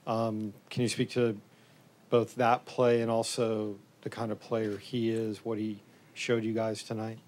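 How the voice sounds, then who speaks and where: A man asking players questions in a fairly low, even voice. he probes for detail and stumbles a bit between phrases. he sounds like a sports reporter at a postgame press conference. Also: measured pace, slight background noise. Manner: probing questions, some stumbles.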